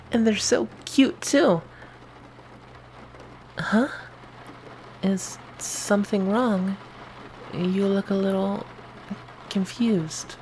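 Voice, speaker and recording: Girl doing a shy sweet zombie guy, slow hesitant talking, asmr roleplay, bit of background noise. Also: medium pitch, darkish tone, rough recording. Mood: worried, unsure. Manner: hesitant, timid, friendly. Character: shy, sweet, eager young male zombie.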